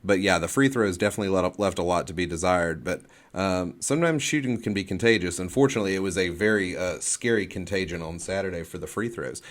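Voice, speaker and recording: A man's voice: sits low, quick pace, few pauses. A man co-hosting a sports talk show, analysing off the cuff and often repeating words and phrases as he goes. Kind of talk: unscripted sports discussion and analysis.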